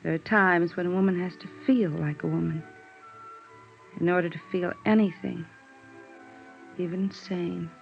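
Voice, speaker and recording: Creaky-voiced American noir woman. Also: fairly low pitch, fairly even intonation, a little slow, pauses often. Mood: wistful, hurt. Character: wounded, soft-hearted woman of radio noir. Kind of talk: 1950s radio drama acting. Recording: dull vintage radio recording, faint music.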